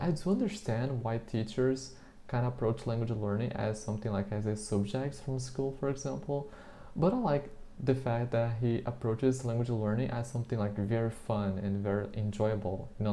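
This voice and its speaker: Mellow-voiced man, Portuguese native. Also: mid-range voice, moderate pace. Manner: chatty, hesitant, leans heavily on the filler "like". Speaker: interviewer practicing English. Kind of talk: casual street conversation.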